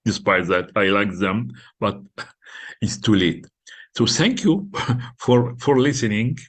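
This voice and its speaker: An older man with a French-influenced English accent gives a recorded lecture, halting often with fillers and repeated words. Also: fairly low pitch, expressive, breathy edge. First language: not English; French is his second language.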